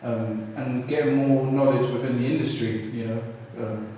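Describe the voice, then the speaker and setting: A young man from South London reflects on his training in a level, monotone way, full of "um"s. He sits far from the microphone in a big hall. His voice trails off into a long reverb. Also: medium pitch, moderate pace, slight background noise. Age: 20s. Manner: earnest, says "you know" and "um" often. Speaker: photography trainee. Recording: echoey hall, distant mic.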